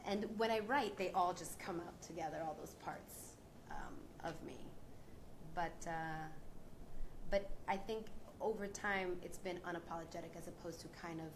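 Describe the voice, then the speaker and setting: A young woman speaks in a lowish voice, earnest and reflective, often restarting her sentences as she gathers her thoughts. She is an actress on a discussion panel. Clear background noise runs under her words.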